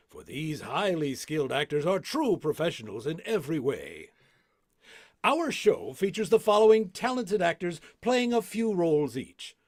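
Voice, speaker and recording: Casting: male theater presenter, very lively intonation, showman-like scripted introduction, dry close sound. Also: a little high, no echo. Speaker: presenter and cast member.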